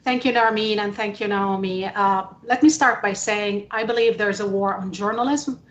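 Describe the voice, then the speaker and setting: A woman from the Middle East speaking emphatically and deliberately as a journalist on a panel about politics. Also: mid-range voice, fairly even intonation. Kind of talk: panel testimony, impassioned political talk. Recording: echoey room.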